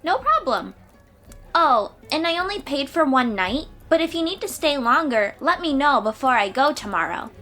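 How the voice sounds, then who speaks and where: A woman's voice: sits high, very animated, moderate pace, pushed and bright, faint music behind, full character voice. A woman voicing a confident, chatty thirteen-year-old sea-dweller girl warrior in a fantasy audio roleplay, perky and informal. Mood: friendly, confident.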